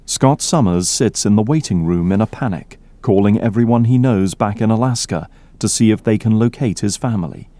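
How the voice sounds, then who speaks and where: A man's voice: lowish voice, moderate pace, few pauses, mellow, dry recording. A man reading scripted narration for an audio drama.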